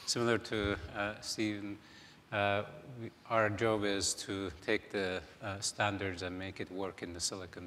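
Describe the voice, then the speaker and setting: A man's voice: sits low, a bit bright, a little hiss or hum. A man introducing himself as a marketing head on a conference panel, hesitant with many fillers. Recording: echoey conference hall.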